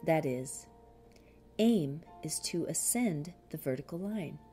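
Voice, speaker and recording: Woman, unhurried audiobook narration, soft dark tone, deliberate pauses, music behind. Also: deep voice, expressive. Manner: measured, deliberate, with frequent pauses. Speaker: reader of a spiritual commentary. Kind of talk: scripted audiobook reading.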